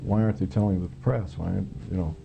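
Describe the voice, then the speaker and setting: An American man recounting his own experience in an interview, halting, plain-spoken and matter-of-fact, as a former air traffic controller. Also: deep voice, fairly even intonation, creaky, dull audio, over music.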